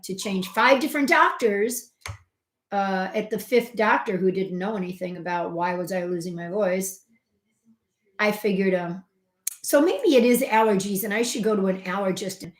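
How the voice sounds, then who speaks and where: A middle-aged woman, a homeopath, talks to her viewers in a loose, chatty way, full of fillers and side remarks. She jumps between loud, emphatic bursts and quiet asides at a mid pitch. Her voice rings a little in a roomy space. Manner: expressive, swings from loud emphasis to soft asides. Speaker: homeopath hosting a health channel. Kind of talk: casual personal storytelling to camera. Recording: roomy echo.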